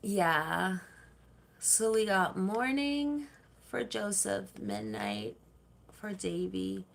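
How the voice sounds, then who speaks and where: A woman's voice: medium pitch, sing-song, extremely slow, vocal fry. A woman hosting a casual livestream, chatting with viewers and reading their comments, rambling and laughing easily. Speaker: livestream host.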